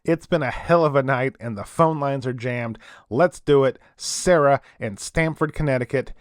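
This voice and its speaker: Casting: male actor as late-night call-in radio host, medium pitch, informal, sympathetic, close dry studio sound. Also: normal speed, smooth, dry recording, close to an everyday voice. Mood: upbeat. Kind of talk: casual radio talk show acting.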